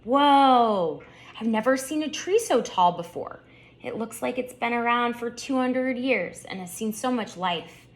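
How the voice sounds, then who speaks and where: A woman voicing a lively, wise male pet turtle in a storybook, gently encouraging and full of wonder. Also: on the high side, measured pace, pitched above the performer's own voice.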